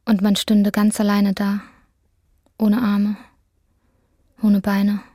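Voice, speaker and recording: A woman narrating a literary drama in a measured, restrained, scripted manner. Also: mid-range voice, monotone, dark tone, no echo, clean studio sound. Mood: measured, tense.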